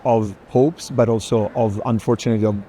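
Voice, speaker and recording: A man's voice: medium pitch, steady tone, fairly quick, dark tone. A man moderating a walking conversation at an art fair, searching for phrasing and often ending on a check question. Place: indoor art fair, walking among stands. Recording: faint busy fair hall noise.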